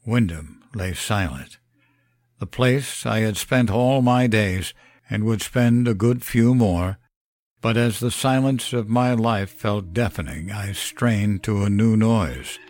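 A man giving a scripted horror narration in a measured, solemn, deliberate way. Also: fairly low pitch, a little mellow, no echo. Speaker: narrator of a horror fiction podcast. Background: music bed.

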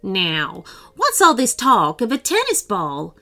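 A woman's voice: medium pitch, huge pitch swings, slow pace, pushed and bright, soft background music, heavily acted. A woman voicing a fussy, pompous male collector in a storybook reading, with a theatrical manner.

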